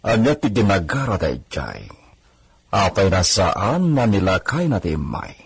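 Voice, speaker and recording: A man's voice: low-pitched, big pitch swings, a little slow, slightly rough, heavily acted. Man acting a domineering landowner, wildly swinging delivery. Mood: scornful, brooding. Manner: domineering, scornful. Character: haughty, hot-tempered Spanish-styled landowner of a hacienda. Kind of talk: radio drama acting in Ilocano. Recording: noisy radio drama audio.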